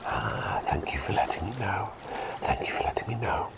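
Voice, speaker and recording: A man voicing a quirky male talking bird plague doctor, telling rambling bedtime stories in a soft ASMR whisper. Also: animated, moderate pace. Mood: gentle whisper. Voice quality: deep creaky whisper. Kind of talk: ASMR roleplay bedtime storytelling.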